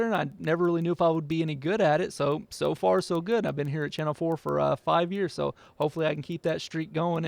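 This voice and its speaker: Upbeat gravelly-voiced TV weatherman. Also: on the high side, no room sound. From Oklahoma. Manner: upbeat, chatty, laughs often. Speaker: TV meteorologist. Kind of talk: casual Q&A monologue.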